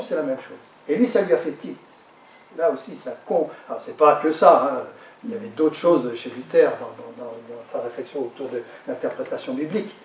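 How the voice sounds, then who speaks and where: A man with a fairly high voice giving a lecture, heard from some distance off the mic. His words bounce around an echoey hall. He talks in a halting way, repeating words and restarting phrases as he explains. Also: a little mellow, a little hiss or hum.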